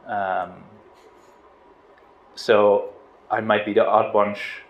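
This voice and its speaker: A middle-aged man from the Netherlands giving an informal spiritual talk, relaxed and hesitant, with restarts and soft laughs. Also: fairly low pitch, extremely slow. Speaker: spiritual teacher. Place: outdoors on a river boat. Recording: roomy, dull lo-fi sound with faint noise.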